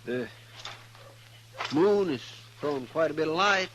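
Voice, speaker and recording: An actor playing an anxious, loyal deputy with a folksy in a 1950s radio western. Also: male voice, a little high, lively intonation, unhurried, a bit bright. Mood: nervous. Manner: fretful, folksy. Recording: noisy vintage radio broadcast.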